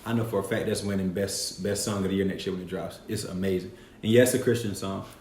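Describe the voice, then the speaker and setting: A man's voice: sits low, steady tone, fast talker, a little mellow. A man guest-hosting a podcast in a casual, playful way, often speaking to his listeners as y'all. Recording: echoey room with faint noise.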